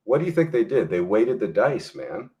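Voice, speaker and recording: Blunt low-voiced male guest. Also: very animated, moderate pace, a little mellow. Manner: blunt, swears, argues emphatically. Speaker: guest historian and filmmaker. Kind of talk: casual opinionated discussion.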